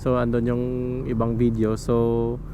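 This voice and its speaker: A man's voice: medium pitch, a little slow, lo-fi sound. A man vlogging from his motorcycle, chatting casually through a helmet microphone with lots of fillers. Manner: relaxed, chatty, many fillers. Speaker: motovlogger. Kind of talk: casual tutorial vlog. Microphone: helmet microphone into action camera. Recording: wind and engine noise from a helmet mic.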